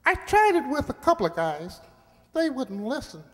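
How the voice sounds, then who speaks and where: A little talking mouse in a radio play, high and squeaky in pitch with wildly swooping intonation. He is matter-of-fact and peaceable, with a wisecracking streak. The sound has a long, hall-like reverb. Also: big pitch swings, normal speed, a bit bright. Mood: earnest. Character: small talking lab mouse, plainspoken, peaceable family male. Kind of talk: amateur radio drama acting.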